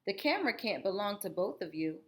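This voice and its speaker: A woman voicing a composed, fair-minded schoolteacher in a storybook, measured and authoritative. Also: medium pitch, sing-song, natural voice. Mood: calm, firm.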